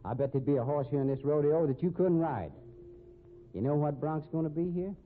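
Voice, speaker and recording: An actor playing a gloating, bullying rodeo gambler in a 1950s radio drama, with American accent and rough slang. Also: male voice, a little high, brisk, phone-quality sound, faint music behind, close to an everyday voice. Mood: taunting. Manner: taunting, rough ungrammatical slang. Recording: thin, noisy vintage radio recording.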